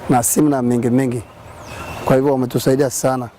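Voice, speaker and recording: A man's voice: medium pitch, little pitch movement, fast, dark tone, a little hiss or hum. A man giving unscripted interview testimony.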